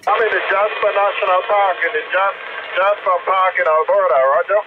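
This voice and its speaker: A man's voice: sits high, fairly even intonation, fairly quick. Grenadian man over tinny, static-heavy CB radio. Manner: repeats words, ends turns with radio sign-offs. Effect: two-way radio. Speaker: truck driver and mobile CB radio operator. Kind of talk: casual radio contact chat, using operator jargon. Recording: tinny, very noisy long-distance CB radio transmission.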